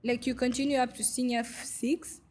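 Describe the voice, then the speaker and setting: A woman's voice: on the high side, level tone, brisk, a little mellow, a little hiss or hum. A young woman from Goma in the DR Congo, a podcast guest, who tells her story in a hesitant way with many fillers. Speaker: guest, refugee vendor. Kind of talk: podcast interview.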